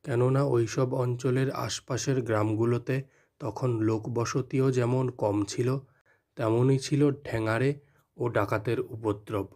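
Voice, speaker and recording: A man narrating a scripted ghost story in a measured, dramatic manner. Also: medium pitch, fairly even intonation, darkish tone. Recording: music behind the voice.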